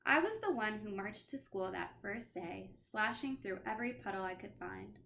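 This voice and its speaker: A woman reading a children's picture book aloud as a teacher, in an expressive style with dramatic emphasis. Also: medium pitch, moderate pace, vocal fry, slight background noise. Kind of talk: animated children's storybook read-aloud.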